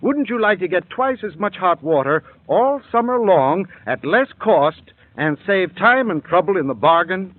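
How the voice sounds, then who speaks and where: A man's voice: sits high, expressive, moderate pace, few pauses, no echo. A man reading a scripted radio advertisement as a sponsor spokesman, earnest and persuasive. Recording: tinny dry old radio broadcast.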